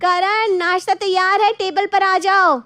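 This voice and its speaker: Woman doing a frazzled young wife in a family story, really high pitched, plaintive. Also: steady tone, a little slow, sharp tone, no room sound, full character voice. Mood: coaxing. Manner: plaintive, exasperated. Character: young exasperated daughter-in-law, dutiful wife.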